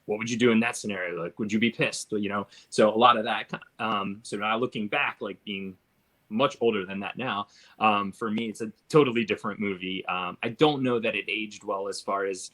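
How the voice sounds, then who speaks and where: A man's voice: on the low side, a little fast, a bit bright. A middle-aged man hosting a casual film panel, reflective and often checking in with the others. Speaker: host of film discussion panel. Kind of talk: casual chat.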